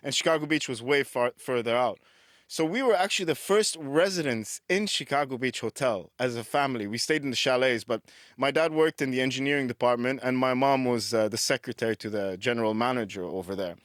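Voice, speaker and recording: A man's voice: medium pitch, a little fast, no room sound. Middle-aged guy from dubai, musician, rambles on and laughs at himself, dry close mic. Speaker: guest musician. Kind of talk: casual rambling chat.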